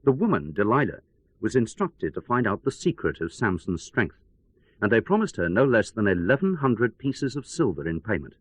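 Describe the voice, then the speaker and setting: Radio newscast drama: actor as wry male correspondent, very expressive delivery, lowish voice, dry close sound. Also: normal speed, dry recording. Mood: wry, dramatic. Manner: wry, theatrical, emphatic storyteller. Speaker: news correspondent. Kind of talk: dramatized radio news report storytelling.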